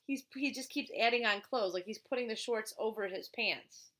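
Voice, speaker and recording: A woman's voice: a little high, normal speed, fairly bright tone. A woman reading a children's picture book aloud as a teacher, playful and chatty, with casual asides and questions to a young listener.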